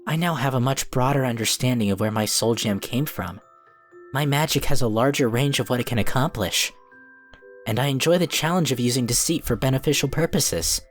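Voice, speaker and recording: A calm cookie wizard from a cartoon fan drama, acted by a man, speaks with formal, measured care. He sounds earnest and diplomatic, in a medium-pitched voice over faint music. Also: close to an everyday voice. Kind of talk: scripted fan audio drama acting.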